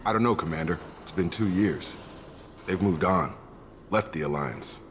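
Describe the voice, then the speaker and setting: A man playing a steady, plain-spoken soldier and security chief in a video game. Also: low-pitched, moderate pace. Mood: steady, frank. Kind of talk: video game character acting. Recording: noisy battle ambience behind.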